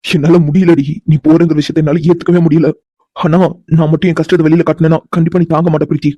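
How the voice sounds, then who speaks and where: A man playing a tender, protective man holding back sadness, speaking gently and reassuringly in an emotional audio drama. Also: a little high, little pitch movement, fast talker, no room sound, lo-fi sound, close to an everyday voice. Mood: restrained sadness.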